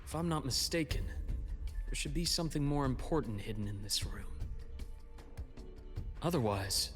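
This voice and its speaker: A man acts out a shrewd, unflappable investigator in a video game. He speaks with deliberate, formal phrasing and a calm analytical temper, at a middling pitch, with faint music behind him. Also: close to an everyday voice. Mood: focused, explaining. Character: composed, shrewd male investigator, calmly analytical. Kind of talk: scripted video game voice acting.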